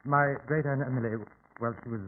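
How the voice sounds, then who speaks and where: An actor playing a polite, anxious gentleman of a manor, formal and hesitant, in an old-time radio drama. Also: medium pitch, steady tone, fairly quick, a little hiss or hum, lightly acted. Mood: guarded, hesitant. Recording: tinny, phone-like old radio recording.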